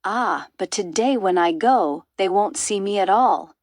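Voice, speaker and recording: A woman's voice: medium pitch, expressive, measured pace, darkish tone, no room sound, clean studio sound, lightly acted. A woman voicing an enormous greedy, boastful crocodile in a storybook, gloating and savouring every word.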